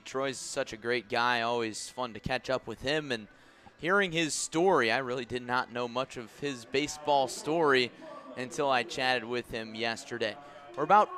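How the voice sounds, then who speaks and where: Guy calling baseball on the radio, pregame stuff, bright punchy voice, measured but conversational. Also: medium pitch. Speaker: baseball play-by-play radio announcer. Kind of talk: pregame sports commentary.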